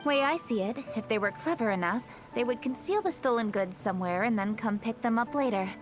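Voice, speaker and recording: A woman's voice: fairly high pitch, a little fast, close to an everyday voice. A woman voicing a poised, dutiful young woman official in a video game, speaking formally and with self-assurance. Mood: firm, determined. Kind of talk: English video game voice acting. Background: background music.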